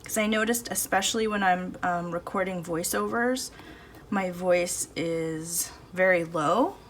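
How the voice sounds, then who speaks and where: A woman's voice: lowish voice, measured pace. A woman hosting a casual painting video, chatting and thinking aloud as she works at her table, recorded on a phone in her home studio. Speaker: art channel host. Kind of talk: casual painting demo chat. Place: home art studio, indoors. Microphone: phone camera above the table, no external mic. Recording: phone audio with faint background noise. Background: faint street and household noise.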